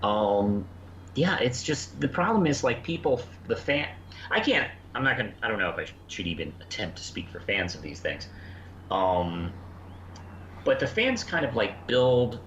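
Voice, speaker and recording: A man co-hosting a casual review discussion, thinking aloud in a hesitant, halting way. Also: medium pitch, fairly bright tone. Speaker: podcast co-host. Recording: dull lo-fi sound, faint background noise.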